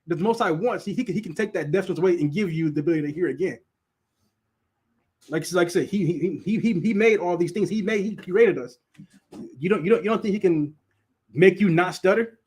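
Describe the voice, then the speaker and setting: A man's voice: high-pitched, brisk, a little mellow, husky. A man who stutters and repeats words, casually teaching a Bible class and laughing often. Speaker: teacher leading a Sabbath class.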